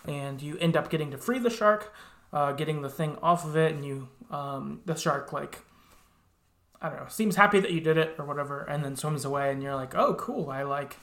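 Gravelly-edged man in casual podcast chat. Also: fairly high pitch, fairly bright tone. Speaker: podcast host. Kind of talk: casual chat recapping a game.

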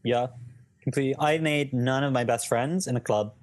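Young man, guest voice, measured and thoughtful, mid pitch. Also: no echo. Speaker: guest on live Q&A. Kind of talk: casual live-stream chat.

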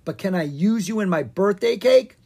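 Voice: medium pitch, expressive, moderate pace, warm tone, slightly rough, pitched above the performer's own voice. A reader doing a bossy young dragon girl. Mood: exclaiming.

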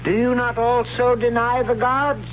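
A fervent old high priest hands down commands in a slow, solemn voice. The old radio broadcast sounds dull and lo-fi, with a faint hiss under it. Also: male voice, flat delivery. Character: stern elderly male high priest, zealous. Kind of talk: 1970s radio drama acting.

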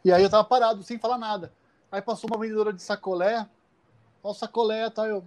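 High-voiced Brazilian man telling stories. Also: quick pace. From Brazil, Campinas area. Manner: animated, laughing, many restarts. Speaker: guest. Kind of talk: casual storytelling on a live video call. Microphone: phone on a live stream.

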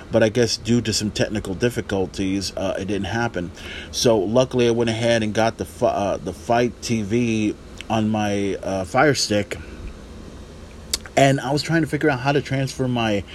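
A man's voice: mid-range voice, a little hiss or hum. A man in his forties hosting a podcast, talking in a casual, rambling, emphatic way. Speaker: wrestling podcast host.

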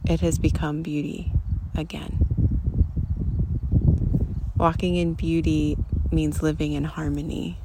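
A person leading a guided meditation in a gentle, soothing, unhurried manner, recorded live outdoors. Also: mid-range voice. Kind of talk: slow guided meditation and poem reading. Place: outdoors among hills and wildflowers. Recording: outdoor live recording, loud wind and nature noise. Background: wind, birds, nature sounds.

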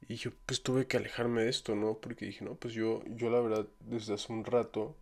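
A young Mexican man talks through a solo podcast in a low voice with little rise and fall. He rambles in a relaxed way, keeps coming back to fillers and restarts, and drops casual slang as he goes. His Mexican Spanish accent is clear throughout. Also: normal speed. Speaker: podcast host.

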